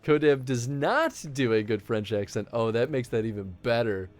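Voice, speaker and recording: A man's voice: medium pitch, expressive, normal speed. A man chatting casually on a live game stream, reacting playfully and laughing often.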